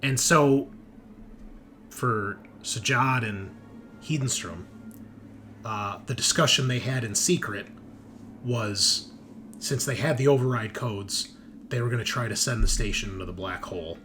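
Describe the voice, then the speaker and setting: A man's voice: medium pitch, measured pace, long pauses, fairly bright tone, slight background noise. A man running a tabletop game as game master, narrating the story in a casual but dramatic way. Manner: casual recapping with dramatic read-out passages. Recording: music behind the voice.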